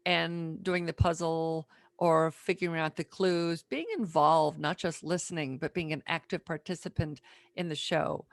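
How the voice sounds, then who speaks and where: Female podcast interviewer, slightly low pitch, plain-spoken and conversational, close dry sound. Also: little pitch movement, a little slow, dry recording. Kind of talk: casual interview chat.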